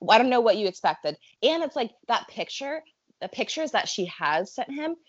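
A woman in casual chat, talking fast and loose with lots of filler words. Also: on the high side, very animated, no room sound, muffled recording. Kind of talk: casual chat about a reality show.

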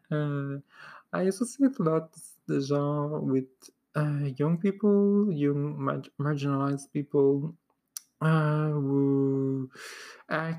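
Solo podcast host, woman, native French speaker with a Québécois accent; low, unhurried delivery, lots of "uh" and restarts. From Quebec, Canada. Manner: hesitant, many "uh" fillers and restarts, occasional soft laughs.

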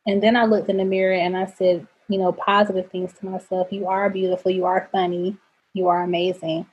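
A middle-aged woman, a coach and speaker, talking earnestly as a podcast guest about faith and boundaries, goofy and quick to laugh. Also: medium pitch, little pitch movement, husky, lo-fi sound. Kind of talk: faith-based personal talk.

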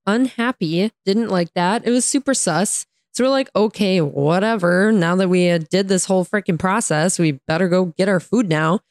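A woman with an American accent and a hoarse, raspy voice, casually telling a story in slangy, sarcastic, exasperated style and mimicking dialogue. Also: medium pitch, moderate pace, few pauses, no room sound, clean studio sound. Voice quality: raspy, tired voice. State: hoarse from talking all day. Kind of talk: casual chat, animated storytelling.

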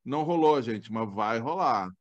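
A man's voice: mid-range voice, measured pace, muffled recording. A man from southern Brazil, hosting a podcast in casual, enthusiastic chat. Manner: warm and enthusiastic, animated outbursts. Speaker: podcast host.

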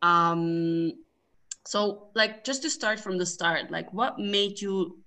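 A woman's voice: medium pitch, slow, bright, forceful tone. A young woman hosting a casual podcast interview, bubbly and full of "like" fillers. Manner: bubbly, filler-heavy with lots of "like". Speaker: podcast host.

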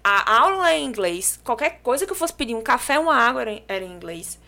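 A young Brazilian woman from João Pessoa chats on a podcast, telling her story with lively energy. Her voice is bright and fairly high, with a clear, forward ring. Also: fast talker. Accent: Brazilian Portuguese, from João Pessoa. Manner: lively, animated storytelling with restarts and laughs. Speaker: podcast guest. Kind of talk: casual podcast chat.

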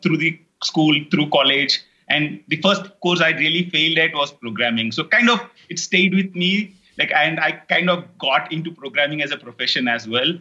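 Bright-voiced Indian man, fillers and restarts. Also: fairly high pitch, dull audio. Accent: Indian English. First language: Telugu. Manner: rambling with many fillers and restarts. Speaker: guest, online course creator. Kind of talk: casual interview chat.

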